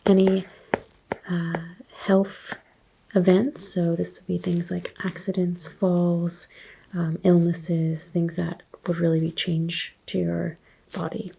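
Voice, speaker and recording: A soft-spoken woman doing an ASMR doctor roleplay, asking unhurried exam questions and slipping into whispers. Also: on the low side, completely flat delivery, vocal fry, background noise.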